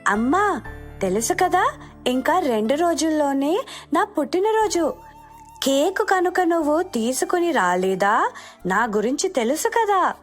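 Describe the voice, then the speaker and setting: Woman voicing a high-pitched, demanding little girl. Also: big pitch swings, a little slow, pauses often, faint music behind. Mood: insistent. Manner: childlike, demanding, boastful. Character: pampered, insistent little girl, excited about her birthday. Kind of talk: storybook dialogue for a moral tale.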